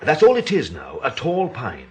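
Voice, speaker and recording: An actor playing a sceptical, uneasy gentleman in an audio drama, with a husky voice and a halting, intense delivery. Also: a little high, huge pitch swings, heavily acted. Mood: haunted. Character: sceptical, uneasy male gentleman named Lewis.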